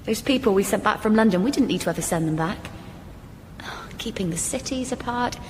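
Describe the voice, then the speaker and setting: A woman's voice: mid-range voice, expressive, fairly quick, slight background noise, lightly acted. A woman playing an impulsive, paranoid young heroine, insistent and accusatory, in a comic radio drama. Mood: accusing, frantic. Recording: hall reverb with music behind.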